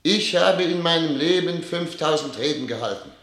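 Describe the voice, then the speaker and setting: An actor playing a troubled, grandiose head of state in a theatrical German radio drama, declaiming with weighty pauses. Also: male voice, fairly high pitch, expressive, fairly bright tone. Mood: remorseful, solemn. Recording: echoing hall with long reverb.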